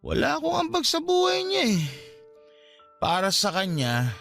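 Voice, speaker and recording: A Filipino actor in a radio drama playing a drunk, self-pitying young boyfriend who feels neglected, with groggy delivery. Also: male voice, very high voice, wildly swooping pitch, moderate pace, gravelly, fairly bright tone, heavily acted. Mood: bitter, hurt. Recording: music behind.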